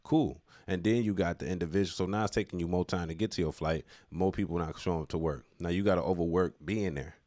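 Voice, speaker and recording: Man, very low voice, dry close sound, casual street slang, opinionated, repetitive emphasis. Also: fast, few pauses, dark tone, dry recording. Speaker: commentator on current affairs. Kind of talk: casual unscripted opinion commentary.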